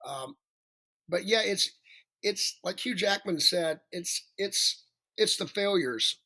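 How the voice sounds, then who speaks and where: A male singer with a raspy voice, chatting as a podcast guest, rambling and self-deprecating with many restarts. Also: high-pitched, slow pace, sharp tone. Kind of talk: casual interview chat.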